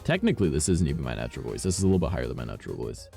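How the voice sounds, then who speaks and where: A man streaming a game, chatting casually and swearing a lot, his voice rough today, swinging between low mumbles and loud bursts. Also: sing-song, very fast, soft background music.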